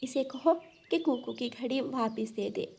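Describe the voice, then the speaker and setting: A woman's voice: fairly high pitch, unhurried, vocal fry, warm tone, a little hiss or hum. A woman voicing an earnest, protective mother bird, firm and insistent, in a children's cartoon.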